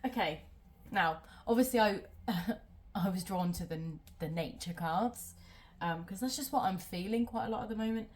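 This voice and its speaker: A woman's voice: medium pitch, normal speed. Chatty woman with a stuffy nose. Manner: chatty, rambling, many ums. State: nasal from a cold. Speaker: tarot reader. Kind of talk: casual tarot reading to camera.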